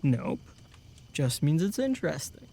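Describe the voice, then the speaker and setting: 남자가 연기하는 진지하고 배려심 있는 젊은 남성 상담원. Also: male voice, on the high side, a little slow, gravelly, slightly rough, exaggerated voice. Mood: easygoing. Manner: calm, considerate. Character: earnest, protective young male camp counselor. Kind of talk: audio drama acting.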